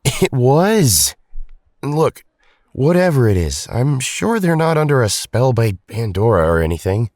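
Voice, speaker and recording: A man's voice: mid-range voice, big pitch swings, a little slow, a little mellow, no echo, clean studio sound. A man playing a loyal, reluctant teenage hero in an audio drama, hesitant and defensive in a conversational way.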